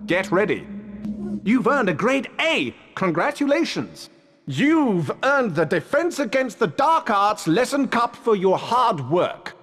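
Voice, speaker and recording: An actor playing a vain, showy British wizard professor in a video game, pompous and self-satisfied. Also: male voice, high-pitched, sing-song, slow, fairly bright tone, in a large hall, heavily acted. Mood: smug, showy. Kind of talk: video game character acting.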